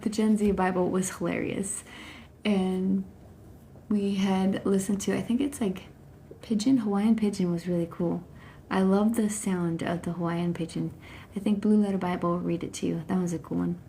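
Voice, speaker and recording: A woman's voice: medium pitch, vocal fry, mellow, a little hiss or hum. A woman in her thirties chatting casually about her Bible reading on a phone livestream from home, with lots of fillers and restarts.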